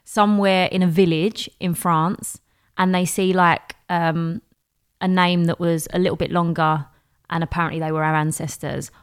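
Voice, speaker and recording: A woman's voice: on the low side, flat delivery, moderate pace, clean tone. A woman from East London with a Cockney accent, telling stories as a podcast guest and laughing easily. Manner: chatty storyteller, laughs easily. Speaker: songwriter guest. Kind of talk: casual interview chat.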